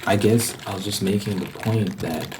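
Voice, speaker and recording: A man's voice: on the low side, steady tone, moderate pace, noisy background. A man giving a rambling ASMR monologue as a video host, emphatically repeating phrases to drive his points home.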